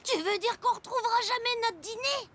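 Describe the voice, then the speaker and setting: A woman voicing an excitable, skittish little boy animal in a children's cartoon, exclamatory and melodramatic. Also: extremely high pitch, a little fast, slight background noise. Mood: sulky.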